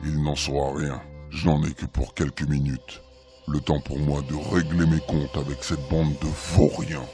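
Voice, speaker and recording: An actor playing a coolly polite, threatening evil spirit in a comic radio serial. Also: male voice, rumbling low, flat delivery, moderate pace, warm tone. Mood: mock-polite menace. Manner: mock-courteous, threatening. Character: menacing evil male spirit, sinister criminal, coolly polite. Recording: music bed behind the voice.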